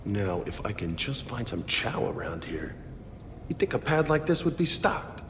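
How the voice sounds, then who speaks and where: An actor playing a jovial soldier crewmate in a video game, casual and teasing. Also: male voice, on the low side, very animated, normal speed, long reverb tail, noisy background. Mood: amused. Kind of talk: cinematic video game dialogue.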